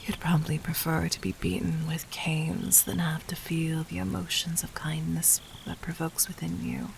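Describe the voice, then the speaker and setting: A woman playing a gentle but commanding, seductive demon queen in an intimate audio roleplay, speaking softly and formally in a breathy near-whisper. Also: sits low, fairly even intonation, normal speed, a little hiss or hum, rough recording. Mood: tender, reassuring.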